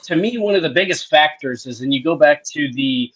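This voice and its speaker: A man in his thirties, a co-host who lays out his analysis in a measured way and cites stats in casual chat. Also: fairly high pitch, animated, fairly bright tone, breathy edge, low-quality recording. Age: 30s. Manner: measured, explanatory, citing stats.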